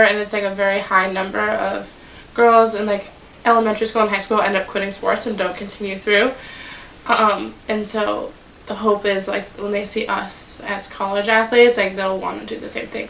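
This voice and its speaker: A woman's voice: mid-range voice, little pitch movement, moderate pace, gravelly, fairly bright tone, slight background noise. A young woman from Massachusetts, a college basketball player, speaking earnestly in an interview. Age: young adult. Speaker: student athlete. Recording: echoey room from a distance.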